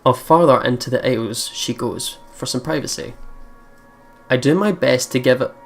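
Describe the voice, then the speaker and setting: Chatty mid-pitched let's play host over music. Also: moderate pace, gravelly. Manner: chatty, informal, swears freely. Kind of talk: casual game commentary mixed with reading aloud.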